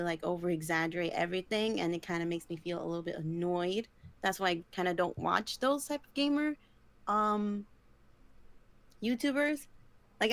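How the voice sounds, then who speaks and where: A young woman of middling pitch chats casually on a podcast. She rambles a lot, fills her talk with likes and ums, and giggles easily. She is recorded close and dry. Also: fairly bright tone, dry recording. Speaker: podcast co-host. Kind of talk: casual chat.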